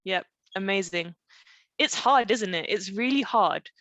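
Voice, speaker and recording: A woman in middle age teaching in a conversational webinar style, with a dry, close sound free of room echo. She explains step by step through personal stories and often stops to check that listeners follow. Her pitch sits in an ordinary female range. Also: expressive, unhurried, a bit bright. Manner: explanatory, often checking in with "okay?" and rhetorical questions. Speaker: parenting coach leading a live online session. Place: live online group session.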